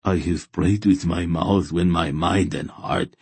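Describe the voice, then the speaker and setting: A man's voice: deep voice, fairly even intonation, unhurried, a little mellow, husky, dry recording, heavily acted. A man acting a guilt-ridden, beseeching religious fanatic. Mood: anguished confession. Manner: intoning, groaning, beseeching.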